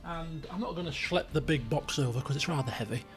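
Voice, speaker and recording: A British man with a croaky voice, chatting casually and in a rambling, colloquial way as he hosts a game livestream. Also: on the high side, fairly quick, faint music behind. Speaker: game streamer, host.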